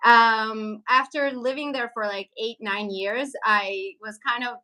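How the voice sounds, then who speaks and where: Travel vlogger, woman from Syria. Bright, higher-pitched voice, informal conversational delivery for a casual live chat. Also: steady tone, measured pace, poor audio. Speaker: travel vlogger and livestream host.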